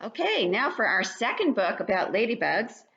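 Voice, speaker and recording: An older woman reading a picture book aloud to young children in an animated, child-directed way. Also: medium pitch, moderate pace. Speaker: children's story reader. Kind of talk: read-aloud picture book narration for preschoolers. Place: living room at home. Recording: dull, lo-fi webcam sound.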